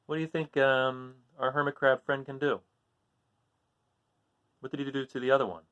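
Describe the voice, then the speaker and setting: A man's voice: mid-range voice, flat delivery, moderate pace, a bit bright, clean tone, lo-fi sound. A man reading a children's book aloud expressively, with informal asides spoken directly to young listeners. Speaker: storybook reader.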